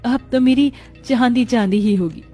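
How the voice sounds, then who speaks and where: A woman's voice: on the high side, moderate pace, faint music behind, close to an everyday voice. A woman voicing a cheerful, easily delighted young bride in a cartoon story. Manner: excited, gushing.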